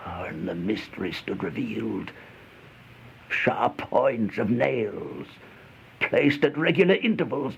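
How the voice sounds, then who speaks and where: An actor playing a terrified, feverish man in an old-time radio horror monologue, stammering, gasping and melodramatic, with a creaky voice. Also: mid-range voice, exaggerated voice. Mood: desperate, bewildered. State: panting, out of breath. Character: terrified, feverish man trapped with monstrous flies, horror narrator. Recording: dull, noisy old radio recording.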